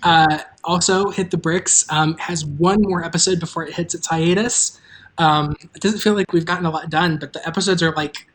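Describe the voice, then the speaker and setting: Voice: mid-range voice, fairly even intonation, normal speed, poor audio. A host chatting casually on a livestream, making announcements in a loose, informal way that trails off mid-thought. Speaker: host and creator of an audio drama.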